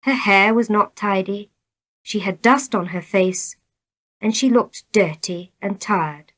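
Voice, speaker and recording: A woman narrates short, simple stories from a script. She reads very slowly and deliberately, with a middle-pitched voice. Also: fairly bright tone. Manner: measured, deliberate reading. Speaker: storybook reader.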